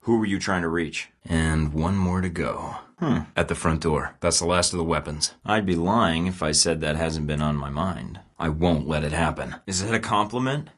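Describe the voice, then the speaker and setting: A low-voiced male spy who sounds unshakable and tosses off dry, sarcastic quips between terse mission lines. He is the hero of an action game, speaking in the clipped, self-assured way of a field operative. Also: moderate pace, few pauses. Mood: wry. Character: wisecracking male secret agent, cool under pressure. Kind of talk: video game voice acting.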